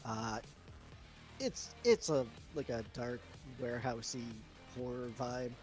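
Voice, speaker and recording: Male streamer, mid-range voice, improvised booking talk, halting delivery, soft music underneath. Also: medium pitch. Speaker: streamer running a fantasy wrestling booking game. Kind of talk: casual improvised live-stream chat. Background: chillhop video game music.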